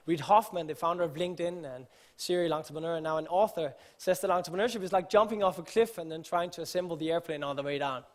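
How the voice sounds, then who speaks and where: A man's voice: on the high side, steady tone, fast talker. A man from Denmark, giving an earnest conference talk on stage, explaining with frequent fillers and restarts. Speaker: conference speaker. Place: conference stage before an audience.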